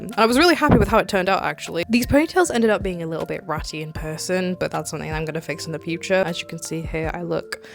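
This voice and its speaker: A woman giving a casual, chatty voice-over as a craft YouTuber, gushing and self-deprecating in an informal way. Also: fairly low pitch. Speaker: cosplay YouTuber and host.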